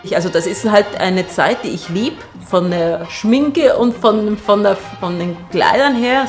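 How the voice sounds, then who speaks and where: A woman's voice: mid-range voice, unhurried. A woman from Argentina, a native Spanish speaker, chats candidly and with a giggly manner as a guest telling personal stories on the radio. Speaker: singer and actress, radio guest. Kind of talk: casual personal storytelling in a radio interview. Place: radio broadcast. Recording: music behind the voice. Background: music.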